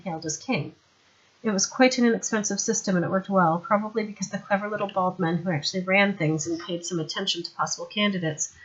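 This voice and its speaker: A woman's voice: mid-range voice, fairly bright tone, lo-fi sound. A woman reading a novel aloud as scripted audiobook narration. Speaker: audiobook reader.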